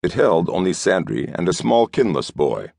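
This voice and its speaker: Very deep gravelly man narrating fiction. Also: big pitch swings, moderate pace, a little mellow, no echo, clean studio sound. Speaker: audiobook narrator.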